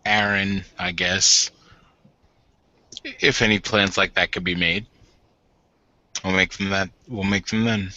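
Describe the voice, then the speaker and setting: Man playing a dry, smirking werewolf warden, low and slow. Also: steady tone, sharp tone, no echo, dull audio, heavily acted. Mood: dry, guarded. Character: smirking, needling male werewolf warden newly married.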